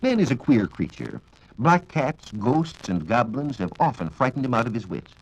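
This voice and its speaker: A man with an American accent giving a formal, measured, scripted 1940s radio science talk on a dull vintage recording. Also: medium pitch, huge pitch swings. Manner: formal, measured lecturing with rhetorical questions. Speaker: science reporter and radio speaker. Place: radio studio.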